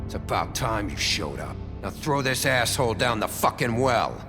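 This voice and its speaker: A man's voice: on the low side, big pitch swings, moderate pace, over music. An actor playing a bitter, foul-mouthed townsman in a video game, aggressive, coarse and accusing. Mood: furious.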